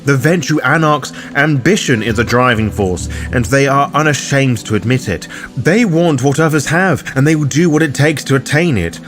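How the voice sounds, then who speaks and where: Theatrical man narrating over music. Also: medium pitch. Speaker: presenter of a roleplaying guide. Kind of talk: scripted narration, dramatic explainer. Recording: music under the voice. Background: background music.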